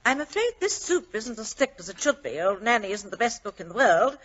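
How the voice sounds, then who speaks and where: Imperious, swooping middle-aged madame. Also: female voice, a little high, big pitch swings, fairly quick, fairly bright tone, slight background noise. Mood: bossy, fussy. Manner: bossy, fussing. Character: imperious, fussy, stout married lady of middle age. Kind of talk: 1940s radio acting. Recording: dull vintage radio recording.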